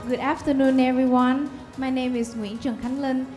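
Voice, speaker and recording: A twenty-year-old woman from Vietnam, a non-native English speaker, giving a nervous, halting self-introduction as a pageant contestant. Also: sits high, level tone, a little mellow, faint music behind. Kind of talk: prepared self-introduction speech.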